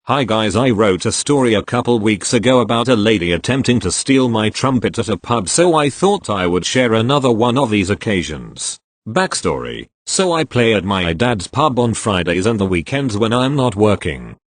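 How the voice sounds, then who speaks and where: Low-voiced man reading posts aloud. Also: sing-song, moderate pace, hardly any pauses, no room sound. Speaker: narrator reading online posts. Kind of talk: scripted narration.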